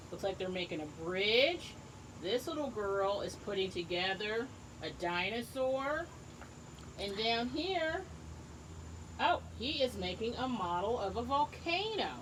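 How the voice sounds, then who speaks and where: A woman teacher reading a book aloud to young children, encouraging them and pausing to ask questions and explain things simply. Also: medium pitch, expressive, noisy background. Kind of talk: read-aloud lesson with asides and questions to children.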